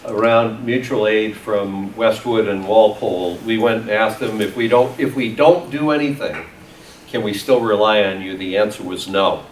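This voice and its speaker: A man chairing a town committee meeting, speaking in measured phrases with frequent restarts. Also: lowish voice, a little hiss or hum. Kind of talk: public meeting moderation. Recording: echoey meeting room from far off.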